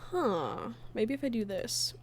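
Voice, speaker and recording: A woman's voice: on the high side, big pitch swings, unhurried, background noise. A woman chatting casually on a livestream as its host and creator. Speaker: streamer, fan comic dub creator.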